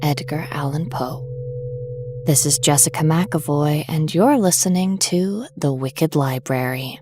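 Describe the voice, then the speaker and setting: A woman giving a short recorded intro to a podcast in a slow, deliberate way. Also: low-pitched, a little mellow, slight background noise.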